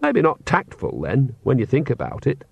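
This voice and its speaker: A man's voice: medium pitch, huge pitch swings, normal speed, vocal fry, a little mellow, breathy edge, no echo, dull audio, exaggerated voice. A man voicing an earnest young witch woman with a plain, sincere, questioning manner. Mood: thoughtful.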